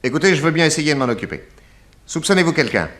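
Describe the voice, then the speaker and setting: An actor playing a genial, self-assured private detective of 1910 in a French radio drama, urbane and expansive. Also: male voice, fairly high pitch, very animated, fast talker, a bit bright. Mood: thoughtful, interested.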